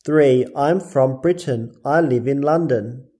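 Very slow, careful male test reader. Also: mid-range voice, dark tone, slightly rough. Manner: careful, deliberate enunciation. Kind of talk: slow scripted reading of test items.

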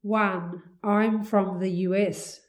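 A woman slowly reading English listening-test items with careful, clear enunciation. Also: medium pitch, steady tone.

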